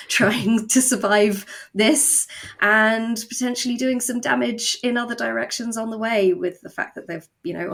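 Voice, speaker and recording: A woman's voice: medium pitch, vocal fry. A woman talking as an interview guest about her creative work, explaining conversationally with wry, dry humour. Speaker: guest, audio drama co-creator.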